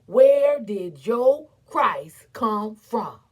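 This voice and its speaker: A woman giving a dramatic, theatrical recitation as an impassioned, defiant, weary but proud orator, with emphatic repetition and long pauses. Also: fairly high pitch, expressive, muffled recording. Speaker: performer reciting a historical speech.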